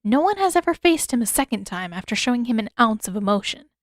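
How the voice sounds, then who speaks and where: A woman voicing an older mother, shrewd and unyielding, with a measured, matter-of-fact way of talking. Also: on the high side, sing-song, no echo, clean studio sound. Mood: dry, assured.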